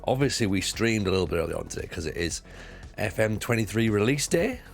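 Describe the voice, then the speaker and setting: A British man with a croaky voice, chatting casually to viewers on a live stream while playing a football management game, rambling and repeating himself. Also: lowish voice, animated, fast talker, soft background music. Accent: British English.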